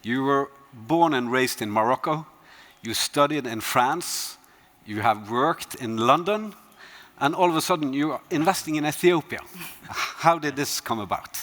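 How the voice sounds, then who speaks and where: A man's voice: mid-range voice, animated, measured pace, a bit bright. A man on a conference stage moderating a panel, asking questions with frequent fillers.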